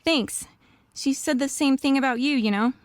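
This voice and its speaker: Casting: actress as professional lady wolf attorney, eager and earnest, higher pitch, dry close sound, radio mystery dialogue. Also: moderate pace, no room sound, natural voice. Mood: eager, admiring. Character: earnest, admiring female wolf lawyer, professional.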